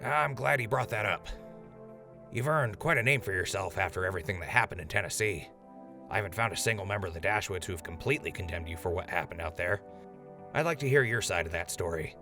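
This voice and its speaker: An actor playing a chatty, upbeat radio host in an audio drama. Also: male voice, deep voice, expressive, fast, over music. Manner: chatty, upbeat broadcaster patter. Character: eager male wasteland radio host. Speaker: radio show host in story.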